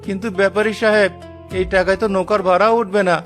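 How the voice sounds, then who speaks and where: A man's voice: sits high, level tone, fast, fairly bright tone, soft background music. A man voicing a poor, honest young fisherman in a cartoon, weary and plaintive, with an earnest manner. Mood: pleading, dejected. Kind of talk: dramatised cartoon dialogue.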